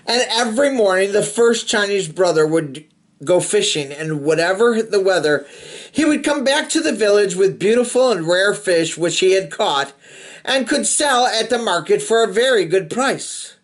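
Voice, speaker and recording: A man reads a children's picture book aloud as the host. His pitch swings widely and expressively. He breaks from the narration for playful, casual asides to his young listeners. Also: expressive, normal speed. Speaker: storybook reader, host of a read-aloud show.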